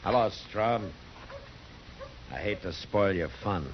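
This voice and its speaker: An American actor playing a stern, steady frontier marshal in a 1950s radio Western, commanding and terse. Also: male voice, fairly low pitch, slow pace, background noise. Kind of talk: 1950s radio drama acting. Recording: dull vintage 1950s radio recording.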